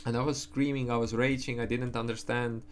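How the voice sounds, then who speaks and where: A man's voice: mid-range voice, little pitch movement, brisk, slight background noise. A young man whose first language is Estonian, talking casually on a livestream, rambling, swearing and restarting his sentences. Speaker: livestream trader.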